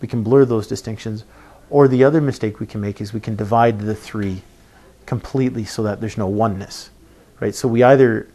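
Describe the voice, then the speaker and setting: A man giving an informal expository sermon as a preacher, halting and thinking aloud, often checking in with a short tag question. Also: on the low side, dark tone. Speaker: preacher teaching a Bible passage.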